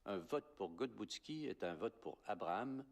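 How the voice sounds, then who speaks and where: Un homme qui raconte un documentaire historique d'un ton posé et régulier. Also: male voice, lowish voice, gravelly, no echo. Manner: measured, even storytelling. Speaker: documentary narrator. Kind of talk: scripted narration.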